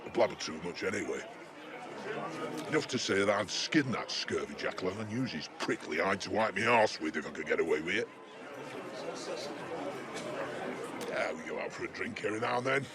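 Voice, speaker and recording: An actor playing a chatty, jaded older working-class British local in a cyberpunk video game, speaking in a slangy, matey, sardonic way. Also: male voice, fairly low pitch, close to an everyday voice. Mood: sardonic, grumbling. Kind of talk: video game character dialogue.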